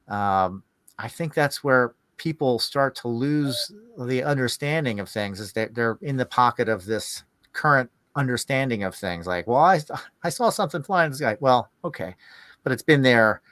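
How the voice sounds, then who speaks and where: A man hosting a podcast in casual, rambling talk, musing aloud in halting phrases. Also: mid-range voice, a bit bright, no echo. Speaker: podcast host.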